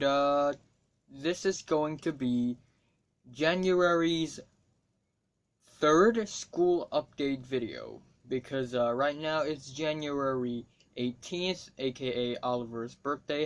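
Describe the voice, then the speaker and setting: A man's voice: mid-range voice, slow pace, poor audio. A teenage boy from Hong Kong whose first language is Cantonese talks casually to camera, rambling, swearing freely and making wry asides. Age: teens. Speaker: student vlogger.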